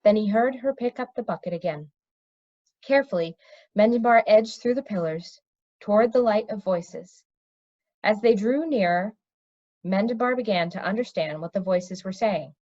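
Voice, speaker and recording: Dull lo-fi audio, woman, medium pitch, expressive read-aloud narration, storybook reader. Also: normal speed. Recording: dull, lo-fi recording.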